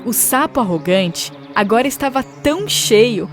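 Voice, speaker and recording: High-voiced woman narrating a children's fable. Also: expressive, measured pace. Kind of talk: scripted children's storybook narration. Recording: music behind the voice. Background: music.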